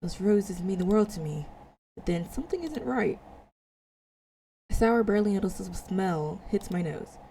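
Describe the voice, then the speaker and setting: A woman reading a visual novel aloud as its player, dramatic but halting over words, with casual asides. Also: mid-range voice, expressive, warm tone, a little hiss or hum, low-quality recording. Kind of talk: reading game text aloud with casual reactions. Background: music.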